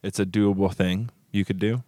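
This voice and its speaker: A man co-hosting a podcast, talking in a relaxed, wry way and thinking aloud. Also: low-pitched, moderate pace, no room sound, clean studio sound. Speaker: podcast co-host. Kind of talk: casual chat.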